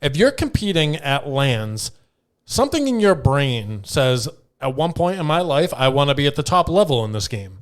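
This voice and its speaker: Clear-voiced blunt man chatting casually. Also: on the high side, big pitch swings, moderate pace. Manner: profane, blunt. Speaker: podcast co-host steering topics. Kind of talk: casual irreverent podcast chat.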